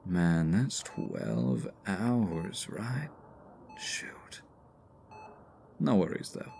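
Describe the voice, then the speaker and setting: A man's voice: low-pitched, wildly swooping pitch, drawn-out words, gravelly, darkish tone, a little hiss or hum, full character voice. A man playing a cocky, teasing supervillain stuck as a hospital patient in an audio roleplay, talking with smug mock politeness. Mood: delighted, friendly. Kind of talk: ASMR roleplay audio drama.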